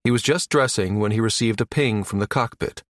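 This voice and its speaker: Brief: male narrator for sci-fi audiobook, lower register, very dry studio-tight sound. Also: a little fast, no room sound. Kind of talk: scripted narration of a science fiction story.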